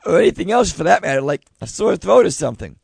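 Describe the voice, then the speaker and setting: A man hosting a live radio talk show, loud and excitable, losing his voice. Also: fairly high pitch, fast talker, gravelly, husky, dry recording. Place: radio studio with live audience.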